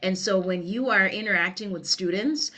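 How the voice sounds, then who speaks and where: A woman's voice: mid-range voice, normal speed, bright, forceful tone. A middle-aged woman with a Liberian English accent, giving an earnest, conversational webinar presentation with many "you know" fillers. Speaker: workshop presenter.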